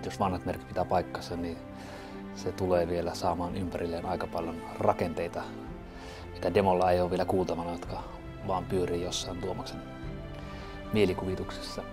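Fast, low, flat-voiced Finnish man. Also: music in the background. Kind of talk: documentary interview.

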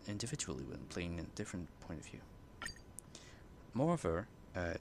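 A man's voice: on the low side, creaky, noisy background. A man livestreaming a game, reading text aloud and commenting casually in a rambling, playful way. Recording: loud game music behind the voice.

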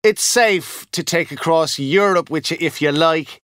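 A man's voice: a little high, a little slow, a bit bright, clean tone, no room sound, studio quality, pitched above the performer's own voice. A man voicing a polite, earnest young hotel boy. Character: polite young Irish hotel boy, weary yet helpful.

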